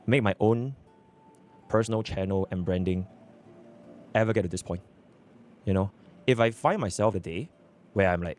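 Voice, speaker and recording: Man, low voice, rapid hesitant rambling, fillers, faint music. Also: darkish tone, a little hiss or hum. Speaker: content creator in a clip. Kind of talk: rambling apology explanation.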